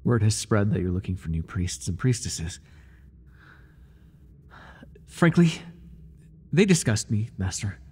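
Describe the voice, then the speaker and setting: Guy acting as a jealous devoted cult priest, really husky breathy voice, pitch swings around a lot, stammery and servile, asmr roleplay. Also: big pitch swings, a little slow, pauses often, a little mellow, full character voice. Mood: disdainful, jealous. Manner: servile and pleading, stammering, grandiose formal speech. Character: obsessive, jealous, fawning male high priest serving a god.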